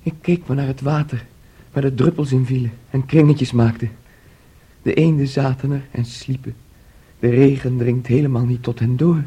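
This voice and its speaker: An actor playing a troubled, fearful young man in a radio play, speaking in a dreamy, brooding, halting way. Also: medium pitch, steady tone, warm tone, breathy edge, close to an everyday voice. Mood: dreamy, despairing.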